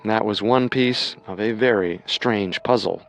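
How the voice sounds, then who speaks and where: A man narrating a scripted true-crime story with measured, dramatic storytelling. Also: fairly low pitch, creaky, soft background music, rough recording.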